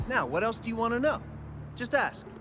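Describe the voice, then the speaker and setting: A sly gang boss who throws his weight around, then folds the moment he is outmatched. His voice sits high and swings widely in pitch, with a brash, wheedling manner. It is game voice acting with music playing clearly behind. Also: big pitch swings, brisk. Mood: evasive. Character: blustering male gang boss, cowardly and sly.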